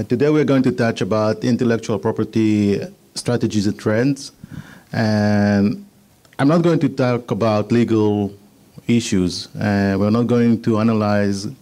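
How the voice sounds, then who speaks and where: Israeli-accented man presenting, low mellow voice. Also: fairly even intonation. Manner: measured, slightly halting non-native English. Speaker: patent attorney giving a presentation. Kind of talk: business presentation to an audience.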